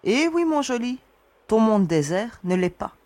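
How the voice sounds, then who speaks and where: An actress playing a shrewd, confident young woman explorer who speaks in a calm, assured and mildly teasing way. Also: a little high, unhurried, no echo. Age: young adult. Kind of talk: audio drama acting.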